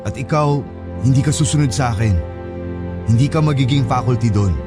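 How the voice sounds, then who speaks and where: An actor voicing a young male student in a radio drama, turning his partner down with flat, firm refusals. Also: medium pitch, moderate pace, natural voice. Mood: cold. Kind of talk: Tagalog radio drama acting. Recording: music under the voice.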